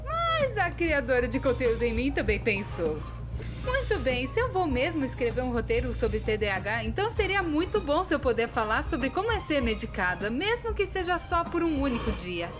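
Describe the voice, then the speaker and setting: A high-pitched Brazilian female cartoon storyteller, acted by a dub actress, chatty and self-deprecating. She narrates expressively, with big swings in pitch. Music plays clearly behind her. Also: moderate pace. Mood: chatty, candid. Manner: expressive, conversational. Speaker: voice actor in a fan dub. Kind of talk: scripted animated-story narration.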